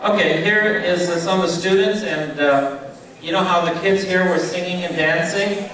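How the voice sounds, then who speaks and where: A man's voice: high-pitched, steady tone, normal speed, a bit bright. Middle-aged male speaker, chatty with the audience, distant PA recording in a very echoey hall. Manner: conversational, checks in with audience, many fillers. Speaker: speaker at a charity event. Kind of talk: informal speech to an audience. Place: event hall.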